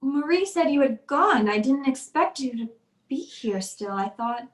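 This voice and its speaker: A woman plays a terrified wife in an old-time radio thriller. Her voice is high, breathless and pleading. She falters as she speaks, fearing for her life. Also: animated, a little slow, roomy sound. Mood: hurried, nervous.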